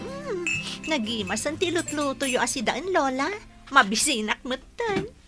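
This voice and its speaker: A woman's voice: sits high, sing-song, unhurried. A woman playing a cheerful, chatty young student granddaughter in a radio comedy-drama, with music underneath. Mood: appreciative, playful.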